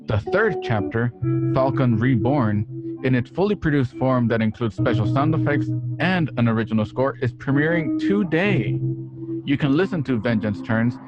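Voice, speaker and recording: A man's voice: mid-range voice, lively intonation, normal speed. A man hosting a show and reading a scripted sponsor promo. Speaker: host, ad reader. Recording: slightly dull, loud guitar music behind. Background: plucked guitar music.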